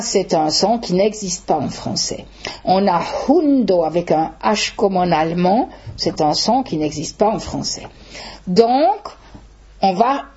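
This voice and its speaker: Husky older woman explaining slowly. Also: medium pitch, dark tone, lo-fi sound. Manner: explanatory, measured, stretches words for emphasis. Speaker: Esperanto teacher giving a talk. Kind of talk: public talk with audience questions.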